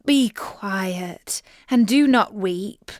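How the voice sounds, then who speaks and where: A woman's voice: medium pitch, very slow, no echo, clean studio sound. A woman voicing a pleading, bargaining frog in a storybook, with formal old-fashioned speech. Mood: coaxing. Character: talking frog, male, pleading and bargaining suitor. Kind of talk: storybook character voice.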